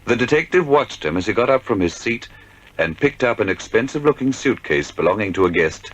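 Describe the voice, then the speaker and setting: Deep-voiced male crime narrator. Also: expressive, brisk, low-quality recording. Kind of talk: scripted dramatic crime narration.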